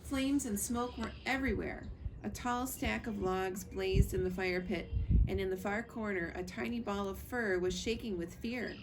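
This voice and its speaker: A woman narrates a children's storybook in the manner of a school librarian. Her voice has a noticeable creak at a middle pitch. She is recorded on a screened porch with clear background noise. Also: normal speed. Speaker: school librarian reading aloud.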